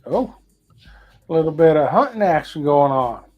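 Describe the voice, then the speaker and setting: A livestream co-host chiming in with quick reactions and asides. The voice is husky and moves a lot in pitch. Faint music plays in the background. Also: very animated, muffled recording. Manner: short reactions and agreeing interjections. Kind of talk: casual livestream chat.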